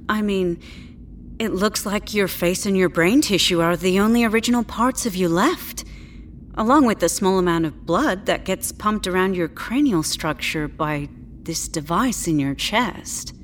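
An actress playing a polite, self-assured clinic doctor with a reassuring, professional manner in a science-fiction audio drama. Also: female voice, mid-range voice, unhurried, slight background noise, natural voice. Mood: astonished.

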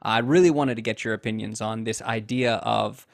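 A man co-hosting a podcast, posing a prepared question in a measured way. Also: lowish voice, gravelly, dry recording, studio quality. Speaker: podcast co-host.

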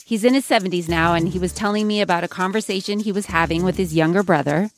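A woman's voice: on the low side, quick pace. A woman co-hosting a podcast, narrating a reported story and interviewing.

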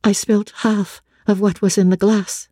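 A woman voicing a composed, devoted young heroine of a classic novel, gentle yet firm. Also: medium pitch, little pitch movement, measured pace, no room sound, studio quality, lightly acted. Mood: quiet, steady. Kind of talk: dramatic audiobook reading of classic literature.

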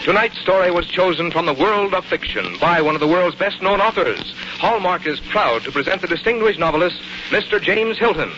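An American man delivers scripted 1940s radio announcements with polish and emphasis. His voice is fairly high, over music on a muffled recording. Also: expressive, brisk.

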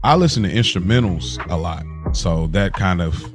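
A man with an American accent and a deep, low voice chats casually as a podcast co-host. His slangy talk is hesitant and often doubles back to rephrase. Music is clearly audible behind the conversation. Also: lively intonation, normal speed.

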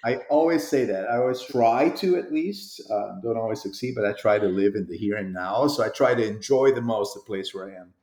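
A man's voice: on the low side, fairly quick, few pauses, darkish tone, roomy sound. A middle-aged man from Portugal, a sales executive being interviewed, talking in a reflective, rambling way. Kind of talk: conversational interview.

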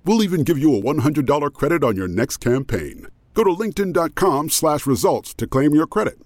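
A man's voice: on the high side, big pitch swings, a little fast, a little mellow, dry recording. A man doing a scripted advertising voice-over. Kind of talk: scripted ad read.

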